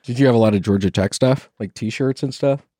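A man's voice: medium pitch, a little fast, dark tone, dry recording, clean studio sound. A man hosting a casual interview, asking curious, prodding questions. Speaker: talk show host.

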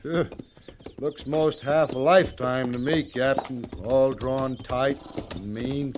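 A man's voice: mid-range voice, fairly even intonation, slow pace, close to an everyday voice. Man acting blunt cavalry soldier, skeptical, folksy grumble, 1950s radio western, faint background hiss. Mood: doubtful. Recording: old radio recording with hiss.

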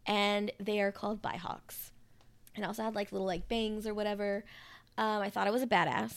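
A woman co-hosting a casual chat podcast, hoarse and raspy from a cold, joking and laughing often. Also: mid-range voice, steady tone, a little fast. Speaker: podcast co-host.

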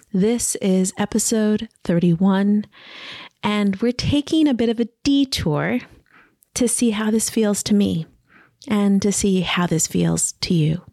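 Slow soft-spoken woman with a slightly scratchy voice. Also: medium pitch, no room sound, studio quality. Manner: slow, soft and gentle, with drawn-out pauses. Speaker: podcast host. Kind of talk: casual reflective podcast monologue. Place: home by a river, indoors, wind outside.

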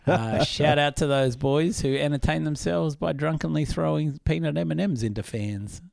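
A man co-hosting a casual film podcast, his voice husky from illness, chatty and enthusiastic. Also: on the high side, moderate pace, darkish tone, no echo, clean studio sound. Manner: chatty, enthusiastic, laughs often. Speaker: podcast co-host.

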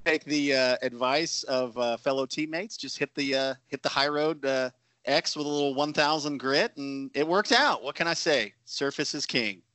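Bright-voiced man bantering about sports. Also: mid-range voice, normal speed, no room sound. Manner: joking, slangy. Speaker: podcast co-host.

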